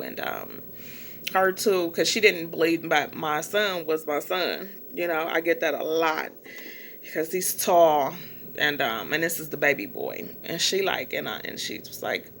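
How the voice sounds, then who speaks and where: Gravelly, bright-voiced woman vlogging. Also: low-pitched, a little hiss or hum. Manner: rambling, many fillers. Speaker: small business owner. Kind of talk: casual vlog monologue.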